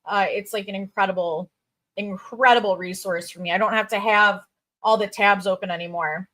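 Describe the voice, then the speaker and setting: A woman's voice: mid-range voice, fairly even intonation, fairly bright tone. A woman in her thirties with a Chicago accent, a crypto recruiter chatting casually on a livestream and reading viewer comments. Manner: bubbly, chatty, quick asides and fillers.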